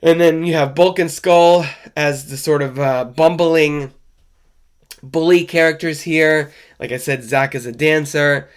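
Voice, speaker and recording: A man's voice: on the high side, steady tone, unhurried, clear, resonant tone. A man with a stuffy, congested voice from a cold, hosting a podcast and chatting casually and wryly as he reviews a show. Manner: wry, conversational, loose and rambling. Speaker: podcast host. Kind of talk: casual solo film review chat.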